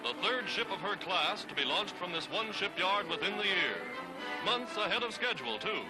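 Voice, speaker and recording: A man's voice: high-pitched, lively intonation, normal speed, poor audio. An American man narrating a 1940s newsreel in an emphatic, dramatic, declamatory style, reading from a script. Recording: 1940s newsreel soundtrack under loud music. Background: loud music.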